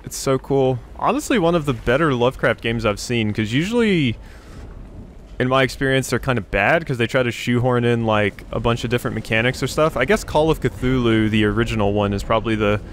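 A man's voice: medium pitch, lively intonation, fairly quick. Male let's player, mid-range voice, casual, rambling, repeats himself, faint ambience behind. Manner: rambling, repeats phrases, thinking aloud. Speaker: gaming YouTuber, let's player. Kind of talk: casual video game commentary. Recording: faint game ambience noise. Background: game ambience.

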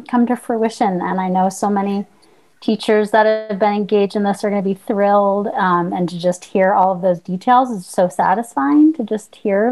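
A woman's voice: medium pitch, a little mellow, rough recording. A woman in her thirties speaks on a video call during a school board meeting. She talks in a chatty, gushing way, filling her sentences with likes and ums. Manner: gushing, chatty, uses fillers. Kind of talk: casual remarks in a board meeting.

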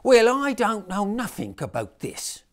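A man's voice: high voice, huge pitch swings, measured pace, pitched above the performer's own voice, exaggerated voice. A man voicing a bossy six-year-old girl playing Mary in a nativity play, speaking sharply and indignantly. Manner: sharp, indignant, hands-on-hips.

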